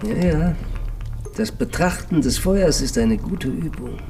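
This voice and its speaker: An eccentric, assured philosopher muses in a literary radio drama. His voice sits a little high, with faint music behind it, as he lectures contemplatively. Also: animated, a little slow, a little mellow. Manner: musing, lecturing.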